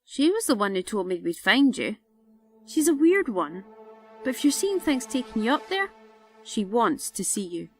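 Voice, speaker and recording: A woman's voice: fairly high pitch, sing-song, normal speed, soft background music. A woman playing a friendly, chatty young woman in an audio drama, with a casual, teasing manner. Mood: puzzled, concerned.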